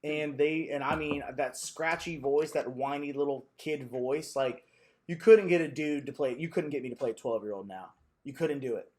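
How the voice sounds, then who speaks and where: A man with a deep voice hosting a casual chat, emphatic and opinionated. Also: normal speed, darkish tone. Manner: emphatic, opinionated, with easy laughter.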